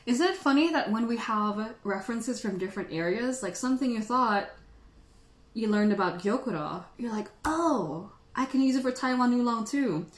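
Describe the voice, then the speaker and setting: A woman's voice: fairly high pitch, lively intonation, normal speed. A woman from the Philippines hosting a tea channel, chatting playfully with viewers and laughing often. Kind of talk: casual livestream chat answering viewers. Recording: echoey room.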